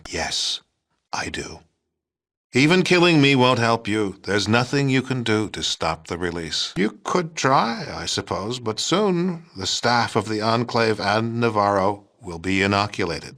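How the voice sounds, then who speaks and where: Self-righteous, fanatical American president. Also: male voice, lowish voice, a bit bright. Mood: solemn, defiant. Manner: folksy politician's oratory, righteous and patronizing. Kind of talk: video game villain dialogue.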